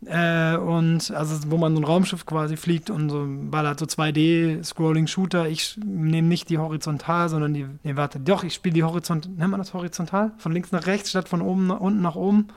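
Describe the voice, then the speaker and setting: A man's voice: on the high side, little pitch movement, brisk, creaky, few pauses. A man in his late thirties who grew up in Japan, talking casually as a musician guest in an interview and often correcting himself mid-sentence. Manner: rambling, self-correcting, chatty.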